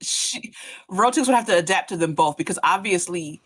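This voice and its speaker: Casting: female podcast panelist, bright and expressive, conversational. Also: lowish voice, moderate pace, slightly rough. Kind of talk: casual group chat.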